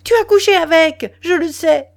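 A woman's voice: a little high, moderate pace, darkish tone, close to an everyday voice. A woman reading an audiobook plays a betrayed mistress, furious, scornful and vehemently accusing. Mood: screaming rage. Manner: vehement, indignant, exclamatory. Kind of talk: dramatised audiobook dialogue.

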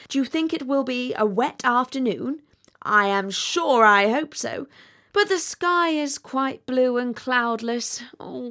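A woman's voice: high voice, a bit bright, pitched above the performer's own voice, exaggerated voice. A woman voicing a chatty, self-satisfied little male frog in a storybook, gushing and complacent. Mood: cheerful, chatty. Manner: gushing, complacent, talks without pause. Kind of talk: storybook character voice.

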